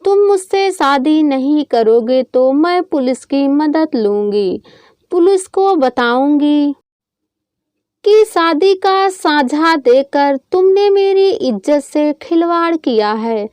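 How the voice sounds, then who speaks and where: Casting: woman reading as wronged college girl of adult age, firm, earnest, high voice, unhurried, audio storybook. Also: expressive, darkish tone, no echo, studio quality. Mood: threatening. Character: wronged, determined young college woman in love.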